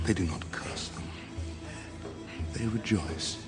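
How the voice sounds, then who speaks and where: An actor playing a charismatic wine god and cult leader in an audio drama, with a measured, incantatory, poetic delivery. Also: male voice, deep voice. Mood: solemn, prophetic. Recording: music behind the voice.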